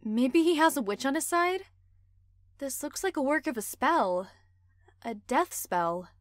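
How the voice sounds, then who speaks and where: Actress as young heroine, high expressive voice, firm, earnest, protective, suspicious. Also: female voice, measured pace, no echo, clean studio sound. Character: protective, suspicious young woman in werewolf pack. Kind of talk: dramatic roleplay voice acting.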